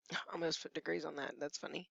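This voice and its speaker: A woman math teacher who has nearly lost her voice, hoarse and at times whispering, patiently talking through each step of a screencast lesson. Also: sits low, fairly even intonation, moderate pace, no echo. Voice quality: hoarse, gravelly, sometimes dropping to a whisper. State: losing her voice. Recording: dry, dull lo-fi screencast audio.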